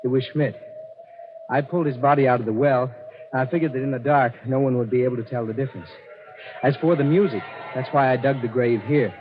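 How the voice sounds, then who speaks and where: A man acting in a 1940s radio drama, playing a calm, shrewd desert man with a matter-of-fact, explanatory manner. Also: medium pitch, a little fast, close to an everyday voice. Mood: calm, explaining. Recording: muffled, noisy 1940s radio broadcast.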